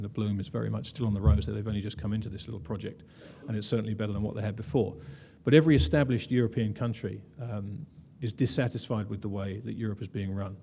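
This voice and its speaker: A man's voice: lowish voice, creaky, dark tone, slight background noise. A man presenting slides at a conference, wry and conversational, with frequent "uh" fillers. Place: conference room.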